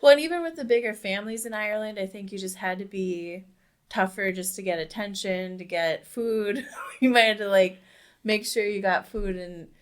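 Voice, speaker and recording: A woman's voice: mid-range voice, moderate pace, clear, resonant tone. A young adult woman co-hosting a casual podcast discussion, talking emphatically and dropping "like" in very often. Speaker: podcast co-host.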